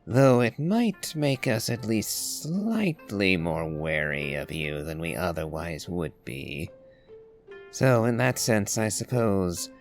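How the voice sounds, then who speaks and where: A man's voice: low voice, lively intonation, takes it slowly, soft background music, heavily acted. A man voicing a wry, guarded, haughty wanderer in an anime-style game, dry and sardonic with formal phrasing.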